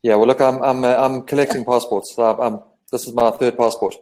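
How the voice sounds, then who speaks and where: Guy on a video call walking through his company's story, lots of ums and restarts, middle-pitched voice. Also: fairly even intonation, brisk, a little mellow. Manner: fluent, restarts with ums while explaining. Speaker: guest from a medical company.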